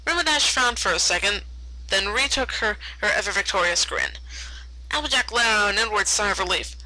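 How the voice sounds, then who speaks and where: A woman's voice: medium pitch, lively intonation, brisk, sharp tone, low-quality recording. A woman reading fan fiction aloud as a storyteller, often stumbling over words and repeating them. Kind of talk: read-aloud story narration.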